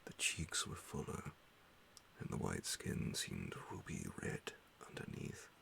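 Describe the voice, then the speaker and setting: A man reading a horror bedtime story in ASMR style, hushed and half-whispered, breathy and gravelly, with dramatic pauses. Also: extremely low pitch, very animated, slight background noise. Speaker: audiobook narrator.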